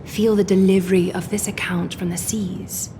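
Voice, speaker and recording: A woman playing a gracious, composed noblewoman in a video game, speaking formally and with soft command. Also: medium pitch, level tone, slight background noise, lightly acted. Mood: soothing. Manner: formal, measured, softly commanding. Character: poised, courteous noblewoman leader, gracious and composed. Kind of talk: scripted game character dialogue. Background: game music.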